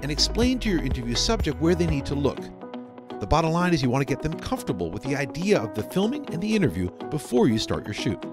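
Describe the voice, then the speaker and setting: Animated male tutorial narrator over music. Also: on the high side, fast. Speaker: instructor narrator. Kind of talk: scripted instructional narration.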